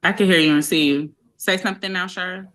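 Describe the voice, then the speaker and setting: A woman's voice: fairly low pitch, fairly even intonation, fast talker, a bit bright. A woman hosting a casual live-stream interview over a video call, chatty and talking through connection problems aloud. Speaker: livestream host.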